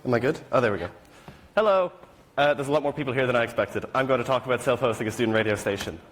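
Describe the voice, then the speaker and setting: A man's voice: medium pitch, very fast. A young man from rural Ireland with an Irish accent, giving a casual technical presentation at a conference in an informal, wry, self-deprecating way. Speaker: student conference speaker.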